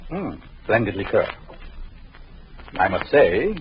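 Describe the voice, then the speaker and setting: Sinister doctor, smooth, menacing, 1940s radio drama, old lo-fi broadcast. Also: male voice, on the low side, very animated, slow pace. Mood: suave. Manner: smooth, insinuating, coldly ironic. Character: sinister jealous male doctor, suave and menacing. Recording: dull lo-fi old radio recording with faint hiss.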